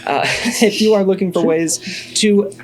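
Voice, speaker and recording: Halting man running a tabletop game, music behind. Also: high-pitched, steady tone, poor audio. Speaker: game master and host. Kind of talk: narrating a tabletop game, casual chat. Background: background music.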